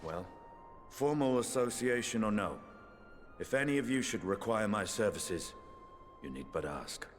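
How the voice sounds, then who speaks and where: Cool knight with swooping intonation. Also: male voice, lowish voice, animated, measured pace, soft background music. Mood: wry, loyal. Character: loyal, courteous male dragoon knight, coolly self-assured. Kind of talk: video game cutscene acting.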